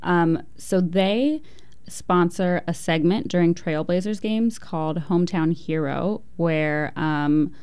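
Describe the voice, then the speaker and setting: A woman's voice: on the low side, takes it slowly, a little mellow, clean tone. A woman from Ohio answering interview questions in a casual, hesitant way with many fillers. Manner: hesitant, many ums and likes. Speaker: interview guest, activist.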